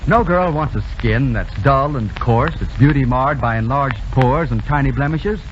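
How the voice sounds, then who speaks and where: A man's voice: medium pitch, normal speed. A man reading scripted advertising copy as a 1930s radio announcer. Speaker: commercial announcer. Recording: muffled, hissy 1930s radio broadcast.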